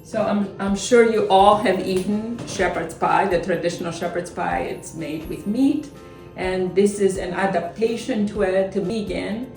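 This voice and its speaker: A middle-aged woman from Crete, calmly explaining the steps of a home cooking demonstration in a kitchen. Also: mid-range voice, unhurried, gravelly. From Crete, Greece. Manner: explains steps plainly, small slips in grammar. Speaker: chef and company founder. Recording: echoey kitchen, far from mic, faint music.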